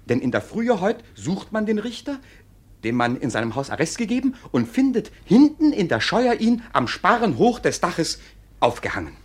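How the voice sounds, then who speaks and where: Casting: officious, knowing clerk in classic radio play, slightly high voice with animated swings and husky grain. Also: male voice, normal speed, full character voice. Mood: ominous relish. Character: sly, officious court clerk, dutiful and knowing. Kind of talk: classic German stage comedy as radio play.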